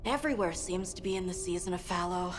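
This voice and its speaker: A woman's voice: lowish voice, quick pace, over music, natural voice. A woman playing an eager, curious youngster in a video game, informal and a little tentative. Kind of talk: video game character acting.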